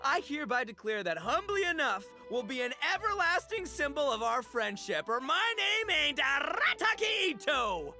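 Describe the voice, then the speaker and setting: Brash, wildly animated gang boss. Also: male voice, very high-pitched, normal speed, few pauses, faint music behind, full character voice. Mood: exuberant. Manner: bragging, slangy, exuberant. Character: boisterous, cocky male gang boss with big appetite. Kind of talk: English video game voice acting.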